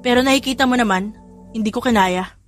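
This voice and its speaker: A woman's voice: a little high, quick pace, close to an everyday voice. A charming young father as a woman narrator plays him, clumsy and apologetic, speaks with sweet sincerity. The style is romance audio drama, with music underneath. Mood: sheepish.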